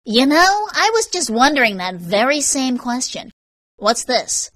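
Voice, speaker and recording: A woman performs a swaggering young man from an audio story, quick to rant and full of sarcasm. He sounds forceful and heated, pitched up from plain narration, in a very dry recording. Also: no echo, lightly acted. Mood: mocking. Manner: ranting, foul-mouthed, sarcastic. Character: hot-tempered, sarcastic, swaggering dark-haired young man.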